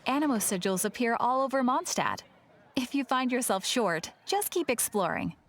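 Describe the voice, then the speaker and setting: An actress playing a cheerful, sly shopkeeper of rare curios in a video game, with welcoming, playful sales patter. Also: female voice, a little high, very animated, normal speed, a bit bright, exaggerated voice. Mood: businesslike. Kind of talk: video game character acting.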